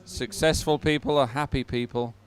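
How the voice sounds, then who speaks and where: A man presenting live sports commentary, pausing as he goes and adding upbeat, sentimental asides. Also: mid-range voice, steady tone. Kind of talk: live commentary on a dragon boat regatta.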